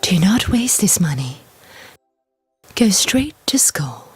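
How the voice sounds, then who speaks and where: Casting: fairy guardian voiced by a woman, breathy whisper, kind but stern, expressive pitch, storybook animation over clear music. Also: slow pace, exaggerated voice. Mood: gently scolding. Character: gentle but scolding female fairy guardian. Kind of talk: children's storybook character acting.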